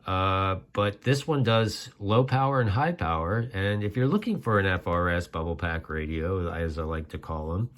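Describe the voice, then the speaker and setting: Man, low voice, informal host explaining things conversationally, folksy asides. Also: moderate pace, few pauses. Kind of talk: casual explainer.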